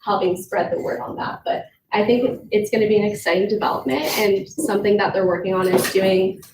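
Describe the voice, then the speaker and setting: A woman's voice: mid-range voice, steady tone, fairly quick, darkish tone. A congested young woman gives a chatty report at a student meeting, filling her speech with "um" and "kind of." She sounds far from the mic in an echoey room with noise behind her. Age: young adult. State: congested, sick. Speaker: student government vice president.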